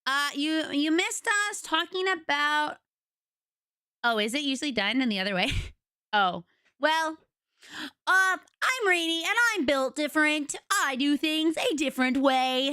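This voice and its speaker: A young woman streamer with a raspy voice, chatting casually and rambling to her viewers from home. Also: high voice, lively intonation, unhurried, bright, forceful tone, dry recording, studio quality. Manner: chatty, rambling, retells conversations.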